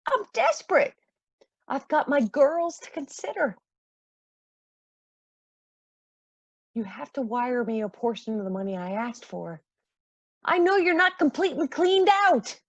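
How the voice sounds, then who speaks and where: A woman's voice: high-pitched, very animated, moderate pace, no room sound, full character voice. A woman acting a caring, middle-aged mother in a radio play. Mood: angry, desperate. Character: caring, generous mother, a singer struggling with money.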